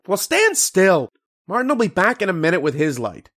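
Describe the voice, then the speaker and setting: A man playing a grave, haunted archaeologist in old-time radio horror, with dramatic, deliberate delivery. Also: high voice, huge pitch swings, a bit bright, exaggerated voice. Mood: alarmed, scolding.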